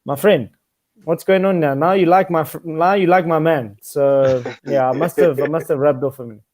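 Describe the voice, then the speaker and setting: A man's voice: on the high side, normal speed, darkish tone, no echo, clean studio sound. A man who coaches football, telling a playful anecdote on a casual chat show. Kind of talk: casual storytelling.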